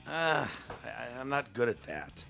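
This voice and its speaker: A man's voice: medium pitch, big pitch swings, measured pace, vocal fry, bright, forceful tone, soft background music. A male podcast co-host with a sore, partly lost voice, chatting in a rambling, self-mocking way with many restarts. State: sore throat, voice partly gone. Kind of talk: casual chat.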